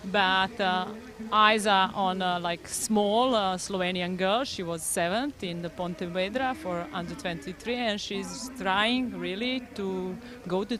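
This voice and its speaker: A woman with a Serbian accent speaking in earnest, slightly halting English as a coach being interviewed at a race. Her pitch sits in a normal middle range. She is recorded outdoors at the course. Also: a crowd in the background. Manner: earnest, non-native phrasing with fillers. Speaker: head coach of a development team. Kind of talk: live interview.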